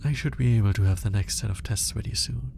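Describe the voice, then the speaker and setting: A man playing a lonely, lovelorn alien scientist in an intimate ASMR roleplay, musing aloud in a hesitant, halting manner. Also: lowish voice, vocal fry, a little mellow, a little hiss or hum. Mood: awkward, shyly smitten. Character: lonely male alien scientist, obsessive, awkward, smitten, lovelorn.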